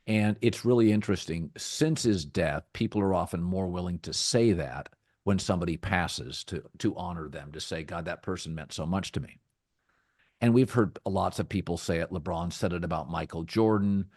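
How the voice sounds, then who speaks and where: A middle-aged man hosting a sports talk podcast, with a deep, rich voice and a measured, thoughtful delivery that often restarts phrases. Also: darkish tone, dry recording, clean studio sound. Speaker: podcast host.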